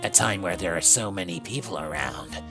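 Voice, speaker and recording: Woman voicing a gravelly, very low menacing male skeleton. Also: fairly quick, over music. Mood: taunting. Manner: monotone, taunting drawl. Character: menacing, bored male skeleton killer with dry sarcasm. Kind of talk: dramatised fan fiction reading.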